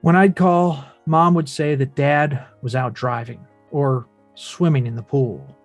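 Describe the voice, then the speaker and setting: A man's voice: medium pitch, fairly even intonation, a little slow, dry recording, faint music behind, lightly acted. Man acting an earnest, wounded grown son, dry recording. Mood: wistful, resigned. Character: earnest, wounded grown son narrating his father's life. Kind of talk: dramatic table read, first-person narration.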